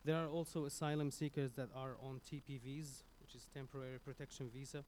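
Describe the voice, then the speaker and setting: A man from Syria with a Syrian Arabic accent, Arabic his first language, giving an earnest, halting public speech into a stage microphone as a community speaker. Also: medium pitch, flat delivery, darkish tone, a little hiss or hum. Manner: earnest, halting, with many restarts.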